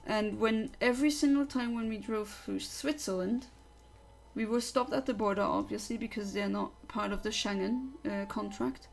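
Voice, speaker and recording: A woman's voice: mid-range voice, normal speed, vocal fry, a little hiss or hum. Chatty young woman. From Luxembourg. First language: Luxembourgish. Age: young adult. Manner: chatty, opinionated, rambling. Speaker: livestreamer. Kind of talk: casual livestream chat.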